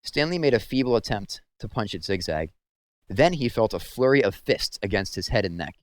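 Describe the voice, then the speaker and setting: Low-voiced man reading a story. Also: lively intonation, moderate pace, no room sound. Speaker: reader of a children's novel aloud. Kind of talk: storybook read-aloud narration.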